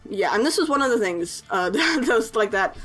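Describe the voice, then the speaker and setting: A woman's voice: fairly high pitch, very animated, moderate pace, a bit bright, soft background music. A young woman chatting casually in a voice-over while she edits, hesitant, with lots of fillers and self-conscious little laughs. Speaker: artist and animator YouTuber. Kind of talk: casual voice-over over editing footage.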